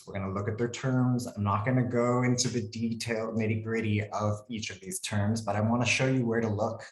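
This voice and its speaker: A man with an American accent, a lawyer presenting a webinar in a relaxed, conversational way. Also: lowish voice, reverberant, slight background noise, low-quality recording. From United States. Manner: relaxed, conversational, frequent ums and "cool".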